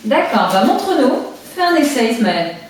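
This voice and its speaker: A woman speaks in a casual, encouraging way, prompting a child with questions. Her pitch swings in a lively manner. She is heard away from the mic in a strongly echoing room, with faint noise. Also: moderate pace. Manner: encouraging, asks guiding questions. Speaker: mother co-hosting a family video. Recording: roomy echo, far mic, faint noise.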